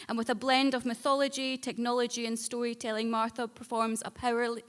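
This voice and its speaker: A woman announcing an awards shortlist in a prepared speech, measured, articulate and deliberate in delivery. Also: on the high side. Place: live awards ceremony in a hall. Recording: echoey hall with long reverb.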